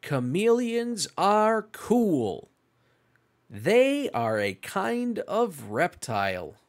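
Casting: children's read-along host, extremely slow and deliberate, with big swooping, exclamatory intonation. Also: medium pitch, huge pitch swings. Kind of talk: slow animated read-aloud for young children.

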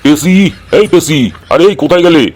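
A man's voice: a little high, steady tone, brisk, darkish tone, lightly acted. A man playing an exasperated, money-minded doctor in a comic cartoon, with a blunt, scolding, colloquial way of talking. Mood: annoyed, scheming. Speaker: cartoon voice actor. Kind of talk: comic Bengali cartoon dialogue.

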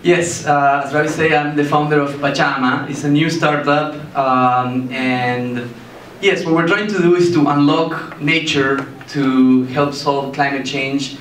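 A man from Argentina in his thirties, a startup founder giving a presentation with a handheld mic, speaking haltingly with many fillers and restarts. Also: fairly high pitch, steady tone, fairly bright tone. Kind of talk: presentation to an audience. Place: meeting room with a projector screen, indoors. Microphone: handheld mic, filmed by a far camera. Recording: echoey room, mic far off, faint hiss.

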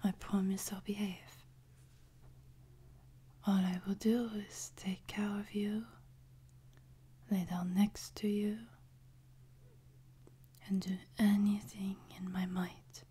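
A woman playing a soothing, devoted French maid in a whispered ASMR sleep-aid roleplay, with a French accent and a soft, breathy delivery. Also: mid-range voice. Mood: soothing, tender. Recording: noticeable background noise, ambience.

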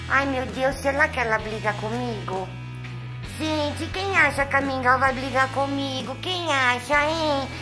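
A woman with a Brazilian accent voicing a chatty, nervous, affectionate young male house cat in a pet vlog. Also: sits high. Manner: sweet, coy, chatty to viewers.

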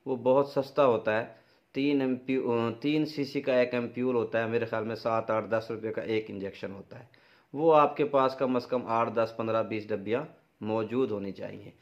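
A man's voice: mid-range voice, steady tone, normal speed. A middle-aged man with a Pakistani accent, explaining things in a practical, instructive way as a farming advice presenter. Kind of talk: informal instructional explanation to camera. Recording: dull, lo-fi sound.

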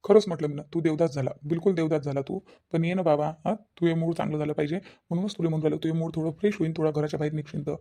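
Man playing a loud, fast-talking pushy Varhadi buddy. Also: fairly high pitch, steady tone, lightly acted. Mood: teasing, coaxing. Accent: Varhadi Marathi from Vidarbha. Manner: insistent, teasing, emphatic. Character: loud, pushy, good-hearted young male best friend. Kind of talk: cartoon phone-call dialogue.

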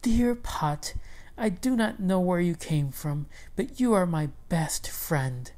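An excitable old farmer's wife from a storybook, acted out by a woman reader. The voice is pitched up high, bossy and exclamatory, stretching out its words. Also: takes it slowly. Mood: wondering, tender. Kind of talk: storybook character voice.

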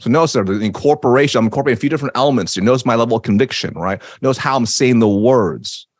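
A man's voice: medium pitch, rapid-fire, dry recording. A man in his thirties who stutters at times, teaching sales with energy and emphasis on a video call from a home office, into a studio mic. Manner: energetic, emphatic, gestures while talking. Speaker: sales trainer. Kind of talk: webinar teaching. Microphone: studio mic on boom arm, video call.

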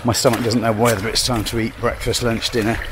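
A man's voice: mid-range voice, level tone. An older man chatting casually to a handheld camera while hiking outdoors, rambling and self-mocking. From England. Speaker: hiking vlogger. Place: outdoors by a rushing river in woodland. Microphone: camera held at arm's length. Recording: loud rushing river noise behind. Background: roaring river water.

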